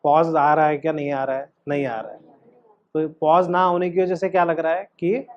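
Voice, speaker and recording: Guy coaching an acting class, kinda high voice, emphatic, keeps asking questions, muffled lo-fi sound. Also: fairly even intonation, normal speed. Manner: instructive, emphatic, frequently questioning the student. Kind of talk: teaching an acting class with demonstrated lines and questions.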